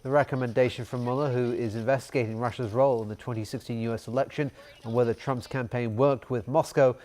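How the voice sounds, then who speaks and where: A man anchors a newscast, delivering scripted news and sports reports. His voice sits at an average male pitch. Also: steady tone, fast, a little mellow.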